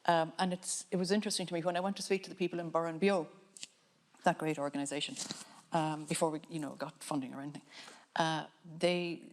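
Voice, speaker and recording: An Irish woman, a filmmaker on a panel, giving a reflective talk in a musing, halting way with frequent restarts. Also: fairly low pitch. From Ireland.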